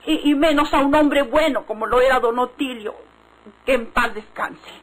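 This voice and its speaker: A woman's voice: high voice, moderate pace. An actress playing a stubborn, suspicious village woman in a vintage Mexican radio drama, with a Mexican accent. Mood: insistent. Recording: faintly noisy old radio recording.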